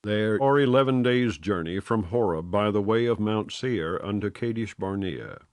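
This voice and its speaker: A man reading scripture aloud in a measured, solemn way, phrase by phrase. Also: fairly low pitch, no echo, clean studio sound. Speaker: Bible reader.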